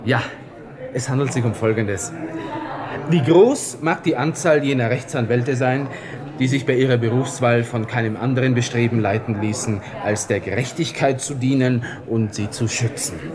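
Actor as a cocky Austrian lieutenant amid a crowd. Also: male voice, mid-range voice, moderate pace, close to an everyday voice. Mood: mock polite. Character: cocky army lieutenant, formal and provoking. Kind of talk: radio play dialogue. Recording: loud crowd behind the voice.

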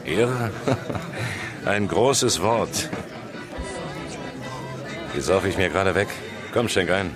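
An actor playing a blunt, world-weary journalist in a radio drama, speaking in a direct, dry and pressing way. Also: male voice, deep voice, expressive, very slow, pauses often, music in the background, crowd noise, full character voice. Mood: weary, resigned. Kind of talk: German radio drama acting.

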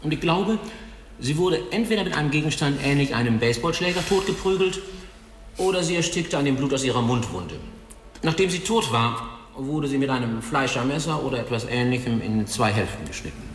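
An actor playing a blunt, cynical coroner. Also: male voice, mid-range voice, animated, fairly quick, roomy sound, long reverb tail, a little hiss or hum, natural voice. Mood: clinical. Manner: blunt, matter-of-fact, coarse. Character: gruff cynical male coroner, older, blunt. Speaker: coroner in a radio play. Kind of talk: radio drama acting.

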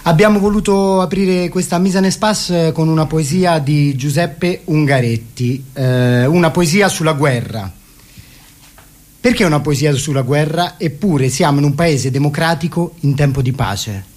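Higher-voiced man presenting radio theatre. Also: measured pace, a little mellow. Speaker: stage performer and presenter.

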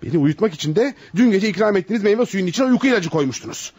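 An actor playing a flustered, indignant psychologist trapped by blackmail, stammering out protests in a radio theater style. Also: male voice, high voice, animated, racing, darkish tone, breathy edge. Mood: anguished outrage. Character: panicked, outraged male psychologist caught in blackmail trap. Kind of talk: Turkish radio theater acting.

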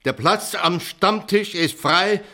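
An actor playing an obliging, showily generous small-town innkeeper in a German radio play. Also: male voice, high voice, takes it slowly, bright, forceful tone, full character voice.

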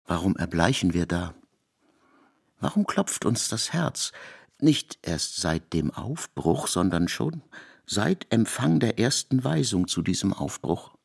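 Deep, creaky-voiced male literary narrator. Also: big pitch swings, slow pace. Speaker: narrator of a literary radio adaptation.

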